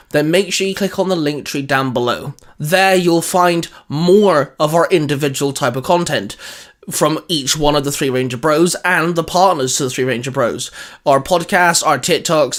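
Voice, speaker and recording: A man with a slightly high voice hosts a relaxed, geeky chat. He talks in a loose, rambling way as he explains his reasoning. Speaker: host. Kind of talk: casual geeky discussion.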